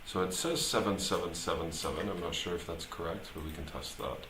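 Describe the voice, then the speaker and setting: A man thinking aloud as he live-streams his programming work, talking casually and haltingly, muttering to himself as he goes. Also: low voice. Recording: roomy echo, clear background noise, typing.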